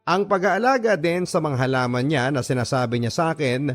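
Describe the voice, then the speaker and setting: A man with a Filipino accent reads a first-person story as a radio drama narrator, earnest and sentimental, with deliberate phrasing. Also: on the high side. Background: background music.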